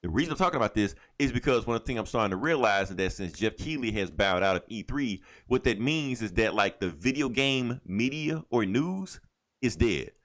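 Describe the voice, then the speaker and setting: Animated man co-hosting a podcast, swinging pitch. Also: normal speed. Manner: profane, opinionated, animated rants. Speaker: podcast co-host. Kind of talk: casual comic book and video game chat.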